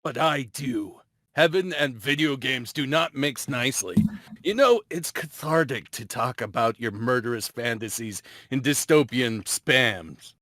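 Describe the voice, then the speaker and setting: A man's voice: medium pitch, expressive, unhurried, a bit bright, no room sound, studio quality. A man playing a grandiose, cornered mad doctor with a theatrical, brooding manner in an amateur table read. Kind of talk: amateur anime-style drama table read.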